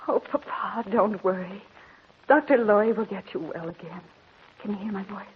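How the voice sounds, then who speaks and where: An actress playing an anxious, devoted young married daughter in an old-time radio drama, earnest and theatrically emotional. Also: female voice, mid-range voice, normal speed, close to an everyday voice. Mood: distressed. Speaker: narrator and lead character. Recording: lo-fi noisy old radio recording.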